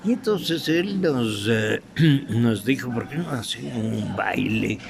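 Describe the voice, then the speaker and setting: An older man with an Ecuadorian highland accent reminisces in an interview, halting and anecdotal. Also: lively intonation, vocal fry, a crowd in the background. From Quito, Ecuador. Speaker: veteran radio theatre actor being interviewed. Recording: noisy room recording.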